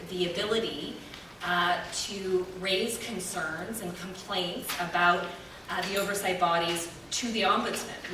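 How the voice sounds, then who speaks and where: A woman on a review team gives measured, careful answers to public questions, pausing often. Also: fairly low pitch, distant mic. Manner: measured and careful, with frequent pauses. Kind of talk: formal answers at a public meeting. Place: large echoey meeting room. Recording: echoey hall audio from afar with noise.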